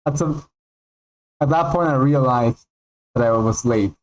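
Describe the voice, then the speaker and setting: A man from Peru whose first language is Spanish, chatting casually and excitably while streaming a game. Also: medium pitch, rough recording. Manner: rambling, excitable, reads out names with pauses. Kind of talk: casual livestream chat while gaming. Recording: faint background music.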